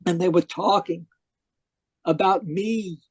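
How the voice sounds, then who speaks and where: A man acting a tormented dead man who narrates his afterlife in old-time radio mystery style, halting and pleading. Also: high voice, darkish tone, breathy edge, no room sound, exaggerated voice. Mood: haunted, weary. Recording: live video call performance.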